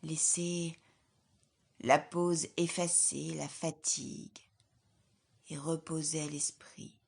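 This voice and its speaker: A woman leading a guided yoga nidra relaxation as an instructor, in a calm, soothing, measured way with soft, breathy phrase endings. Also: low-pitched. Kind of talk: guided relaxation meditation, scripted.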